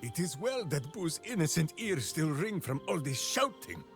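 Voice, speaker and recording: An actor playing a hearty, simple-minded berserker ranger in a fantasy video game, speaking in grandiose, oddly phrased declarations. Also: male voice, fairly high pitch, sing-song, normal speed. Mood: proud, boisterous. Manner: grandiose, third-person heroic declarations with odd phrasing. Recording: music behind the voice.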